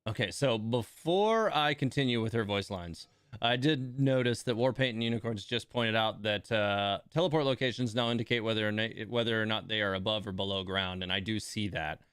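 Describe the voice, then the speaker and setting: A man giving casual live game commentary as a streamer, musing and thinking out loud. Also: fairly low pitch, brisk, clean tone, no echo, studio quality. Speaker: game livestreamer.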